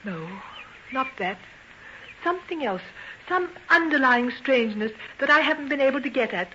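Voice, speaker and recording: An actress playing a devoted, anxious young fiancée in old-time radio drama, with a tender, pleading, melodramatic manner. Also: female voice, high voice, animated, moderate pace, pushed and bright. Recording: dull, noisy old radio recording.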